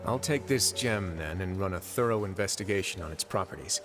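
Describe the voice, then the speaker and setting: An actor playing a composed, dry-witted duke in a fantasy game, measured and courteous. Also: male voice, low-pitched, lively intonation, a bit bright, faint music behind. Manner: measured, courteous, dryly understated. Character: composed, dry-witted male duke who runs a prison. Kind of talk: scripted game dialogue.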